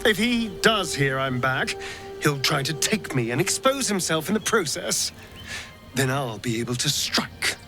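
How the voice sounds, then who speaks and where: A flamboyant elven vampire rogue from a fantasy game. His voice sits fairly high and swoops theatrically, taking its time with wry, anxious asides. Prominent music plays behind him. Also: sing-song, a little slow. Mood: scheming resolve. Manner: theatrical, drawling, hesitant asides. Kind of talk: video game character acting.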